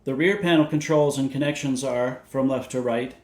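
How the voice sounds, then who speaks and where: A man with a mid-pitched voice narrates a scripted technical overview. He sounds measured and matter-of-fact as he works through details like a presenter reading specifications. The sound is noticeably roomy, with clear echo around the voice. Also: darkish tone. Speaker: presenter of vintage radio videos. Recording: roomy, echoey indoor sound.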